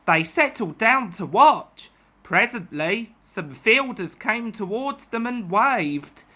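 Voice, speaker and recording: A woman storyteller reading a children's tale aloud in an expressive narrating style. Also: fairly high pitch, slow.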